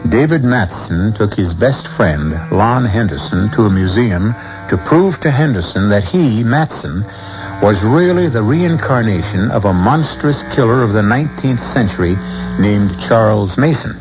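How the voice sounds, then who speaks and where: A man's voice: lowish voice, animated, moderate pace. A man hosting and narrating a scripted mystery series on 1970s radio. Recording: dull 1970s radio recording. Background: music.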